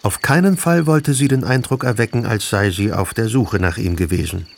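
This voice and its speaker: Gravelly male radio drama narrator. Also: fairly low pitch, moderate pace, slight background noise.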